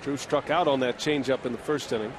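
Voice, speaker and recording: A man calling a baseball game play-by-play in a steady, measured broadcast style. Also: medium pitch, noisy background. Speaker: play-by-play announcer.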